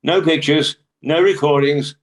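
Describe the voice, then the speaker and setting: Man playing a higher-voiced, skeptical radio producer. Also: steady tone, measured pace, close to an everyday voice. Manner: dry, questioning. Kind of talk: radio play dialogue.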